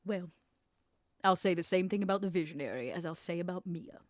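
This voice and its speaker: A woman plays a female vampire smuggler in a tabletop roleplay game. Her voice sits low and is recorded dry and close. She bargains coolly, with deliberate, persuasive phrasing. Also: no echo, lightly acted. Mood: cool resolve.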